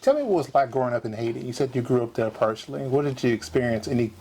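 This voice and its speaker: Mid-pitched interviewer, encouraging, lots of okays, faint background hiss. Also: animated, rapid-fire, dark tone. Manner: encouraging, with frequent "okay" and questions. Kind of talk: casual interview.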